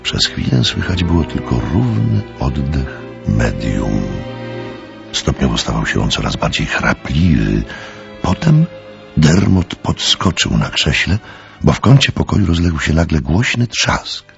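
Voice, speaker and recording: Extremely deep, gravelly male narrator. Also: very animated, unhurried, highs cut off, over music. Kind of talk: dramatic story narration.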